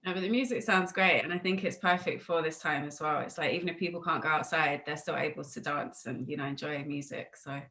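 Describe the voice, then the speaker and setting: Bright-voiced woman interviewing, lowish pitch. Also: a little fast, hardly any pauses, slight background noise. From United Kingdom. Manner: chatty, rambling questions peppered with "like" and "you know". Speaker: music interviewer. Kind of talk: casual interview chat. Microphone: video call.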